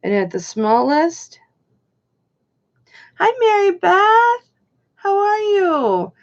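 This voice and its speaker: Slow, gravelly-voiced woman auction host. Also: very high-pitched, big pitch swings, muffled recording. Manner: chatty, greets viewers by name. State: slightly hoarse. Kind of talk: casual live-stream auctioneering.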